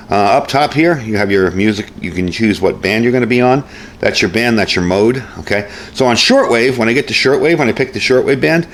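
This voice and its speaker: A man with a low voice talks through a product review in a chatty, informal way. His phrasing is folksy and plain. There is noticeable background noise on the recording. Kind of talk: casual product review to camera.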